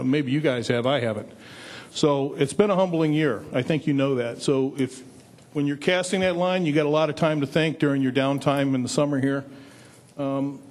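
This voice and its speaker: A middle-aged man a little under the weather gives a blunt public comment at a school board meeting, restarting phrases as he presses his point, speaking into a stand mic in a large meeting chamber. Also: medium pitch. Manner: direct, admonishing, with frequent restarts. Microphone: desk stand mic in front of seated speaker.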